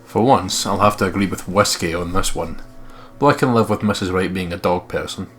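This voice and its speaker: A man's voice: low voice, a little fast, a bit bright, slight background noise. A man reading game text aloud and commentating casually on a let's play, blunt and sweary.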